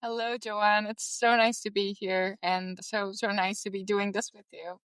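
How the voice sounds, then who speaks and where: Young woman, smooth clear voice. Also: mid-range voice, little pitch movement, unhurried, a bit bright, no room sound. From Turkey. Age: young adult. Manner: earnest, filler-heavy with "like" and "you know". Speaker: podcast guest, entrepreneur. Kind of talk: casual interview.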